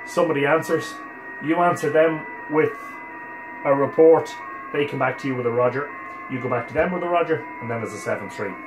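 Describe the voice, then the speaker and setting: Irish guy casually explaining his hobby setup, thinks out loud, mid voice, bit of room echo. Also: medium pitch, fairly quick, music in the background. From Ireland. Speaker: amateur radio operator presenting. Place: small home radio room, indoors. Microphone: camera a couple of metres away.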